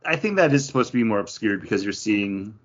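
A man's voice: on the low side, expressive, quick pace, muffled recording. A man co-hosting a podcast in an easygoing, conversational way, peppering his sentences with "like". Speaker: podcast co-host. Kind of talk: casual discussion.

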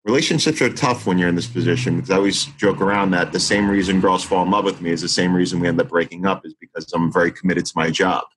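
Man, forties, very low voice; an earnest teacher thinking aloud in conversation, full of restarts. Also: fairly even intonation, quick pace, hardly any pauses, fairly bright tone, a little hiss or hum, low-quality recording. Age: 40s. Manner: earnest, reflective, many restarts and fillers. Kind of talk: interview conversation.

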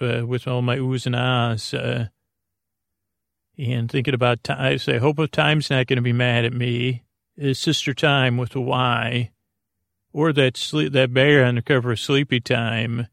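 A man with a creaky voice hosting a bedtime podcast, meandering haltingly through tangents with lots of drawn-out uhs. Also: mid-range voice, no room sound, studio quality. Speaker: podcast host. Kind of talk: rambling bedtime sleep podcast monologue.

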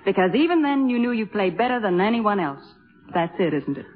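A woman's voice: mid-range voice, very animated, a little fast, natural voice. A sophisticated young woman , played by an actress, in a vintage radio drama. She is cool, articulate and probing. The sound is a dull, narrow old broadcast with music underneath. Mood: self-possessed, candid. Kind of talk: 1950s radio drama acting. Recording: muffled 1950s radio with music behind.

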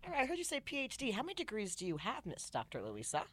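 Animated, quick-talking woman podcast host. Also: on the high side, husky. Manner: enthusiastic, informal. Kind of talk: upbeat interview chat with sponsor read.

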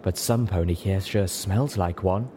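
A man's voice: lowish voice, animated, moderate pace, dark tone, slight background noise, close to an everyday voice. A man voicing an elegant, sardonic female unicorn with a drawling, sighing, ladylike manner in a fantasy audio story. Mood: catty.

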